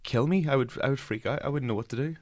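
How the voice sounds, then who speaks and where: A man's voice: mid-range voice, fast talker, a little mellow, dry recording. A male podcast host in a small studio, chatting in a jokey, rambling way and laughing often. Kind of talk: casual interview chat. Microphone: studio mic.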